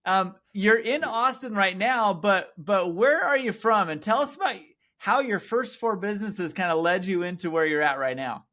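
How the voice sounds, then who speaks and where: A man hosting a podcast interview, enthusiastic and quick to affirm his guest. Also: high voice, bright, forceful tone. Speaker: podcast host.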